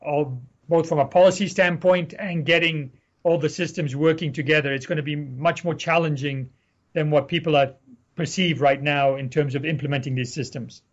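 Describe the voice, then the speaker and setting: Middle-aged man from Egypt, a researcher guest explaining things in a measured way, slightly high voice, dull lo-fi call sound. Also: fairly even intonation. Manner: measured, explanatory, with frequent ums. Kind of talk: interview answers.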